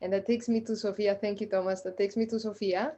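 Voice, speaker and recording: A woman moderates a panel over a video call, briskly passing questions from one speaker to the next. Her voice is mellow and warm, at a middle pitch. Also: steady tone, lo-fi sound.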